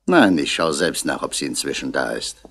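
An actor playing a composed police commissioner who questions tersely and courteously in a 1960s radio play. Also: male voice, rumbling low, big pitch swings, moderate pace, creaky, a bit bright, heavily acted. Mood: brisk, thoughtful.

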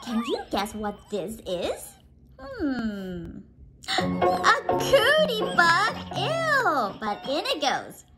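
A young woman leading an interactive preschool storytime, animated and exclaiming, coaxing listeners with questions and drawn-out words. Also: high-pitched. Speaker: children's storytime teacher. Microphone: phone or tablet video call, close to face. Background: music.

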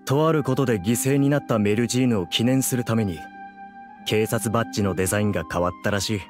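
A man's voice: on the low side, quick pace, darkish tone, faint music behind, close to an everyday voice. An actor playing a chatty, gossipy but loyal policeman in a Japanese video game, casual and conversational.

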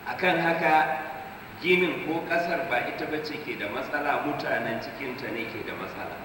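A man's voice: a little high, fairly quick, background noise. A man giving a tafsir lecture in a mosque, explaining verses with everyday examples and quoting Arabic, recorded from far off in an echoey hall. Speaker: Quran tafsir teacher. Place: mosque hall, seated at a table. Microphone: handheld mic, filmed from far.

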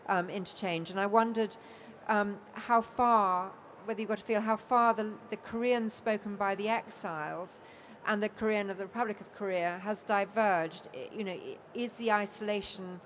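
An older woman chairing a panel discussion, speaking in a measured way with frequent ums at a table microphone. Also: medium pitch, slight background noise. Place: bookfair panel stage with an audience, indoors.

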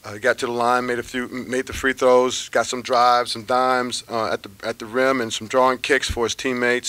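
A middle-aged man, a basketball head coach, answering reporters after a game in measured, unhurried talk full of fillers and pauses. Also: mid-range voice, sharp tone, no room sound. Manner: measured, thinking aloud with many fillers. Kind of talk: postgame press conference answers. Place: press room with sponsor backdrop. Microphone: table microphones at a press conference.

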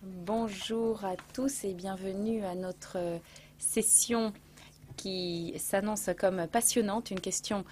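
A woman with an Australian accent opens a conference panel as its host. She speaks slowly and carefully, pausing often. She holds a handheld microphone on a stage in a large hall, and her voice sits at a middle pitch. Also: fairly even intonation, a little mellow, a little hiss or hum. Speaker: panel host and news presenter.

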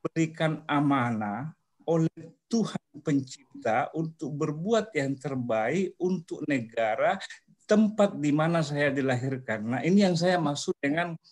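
A man, asking a question in an online discussion in a deliberate, halting way. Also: a little high, darkish tone. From Indonesia. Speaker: seminar participant asking questions. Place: small office, indoors. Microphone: video call, laptop at desk distance.

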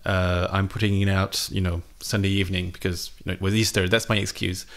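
A man in his thirties with a fairly low voice talks off the cuff to camera. He has the casual delivery of a guitarist running a music vlog, recorded on a close microphone in a small home studio. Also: level tone, brisk, a bit bright. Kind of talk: casual unscripted chat to camera. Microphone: microphone on a boom arm, close.

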